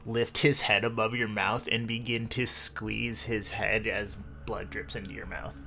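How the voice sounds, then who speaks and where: Slow, deliberate male game master. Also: lowish voice, flat delivery, creaky, bright, forceful tone, slight background noise. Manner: dramatic, deliberate pauses. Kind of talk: improvised tabletop game narration.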